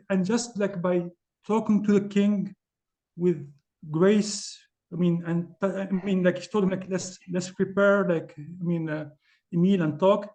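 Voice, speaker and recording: A man from Tunisia speaking English as an on a video call, halting with many fillers and restarts. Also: sits high, warm tone. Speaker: class participant. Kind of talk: casual discussion on a video call.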